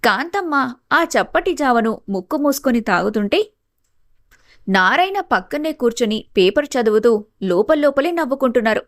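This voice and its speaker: A woman's voice: on the high side, lively intonation, moderate pace, dry recording, studio quality. A woman giving scripted narration of a family story.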